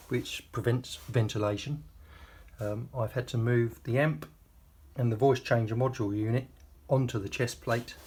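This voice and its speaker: A man giving a casual, plain-spoken and disgruntled product review to camera. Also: medium pitch. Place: small enclosed room indoors. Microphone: camera mic some distance away. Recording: roomy, distant camera mic, faint noise.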